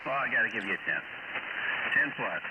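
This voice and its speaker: A man from Texas with a deep voice, calling in over amateur radio in a relaxed, chatty way. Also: fairly quick. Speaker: radio caller. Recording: muffled shortwave radio signal with heavy noise.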